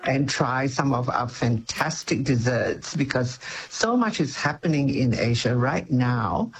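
A man's voice: mid-range voice, lively intonation, a little slow, a little hiss or hum. A man, a cooking educator and author, talking food on the radio with enthusiasm and frequent laughs. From Malaysia. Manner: enthusiastic, descriptive, laughs often. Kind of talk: radio interview.

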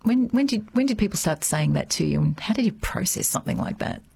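A woman hosting a radio interview, asking gentle probing questions and often rephrasing them. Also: medium pitch, animated, fast, vocal fry, slight background noise. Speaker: radio host.

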